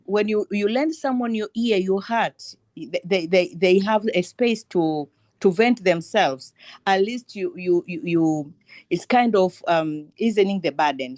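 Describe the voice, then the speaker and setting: A woman's voice: fairly low pitch, darkish tone, no echo, clean studio sound. A woman, telling on a live panel how her work led her to become an ambassador for a women's organization, earnest and often restarting her sentences. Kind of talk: personal story on a live panel.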